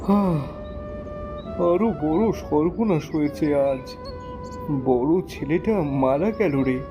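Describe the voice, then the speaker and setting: A man's voice: a little high, animated, takes it slowly, over music. An actor playing a troubled village gossip in a horror cartoon, lamenting as he shares bad news. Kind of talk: Bangla horror cartoon dialogue. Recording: dull lo-fi recording.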